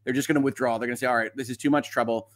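A man's voice: medium pitch, steady tone, racing. A man hosting a livestream, explaining documents in an informal, conversational way with emphatic stresses and wry asides. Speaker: host of a legal commentary livestream. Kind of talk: informal explanatory commentary, reading documents aloud.

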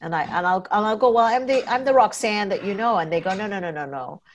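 A woman's voice: mid-range voice, fast talker, slight background noise. A woman from Trinidad and Tobago hosting a podcast conversation, chatting and telling personal stories with quoted dialogue. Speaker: podcast host and consultant.

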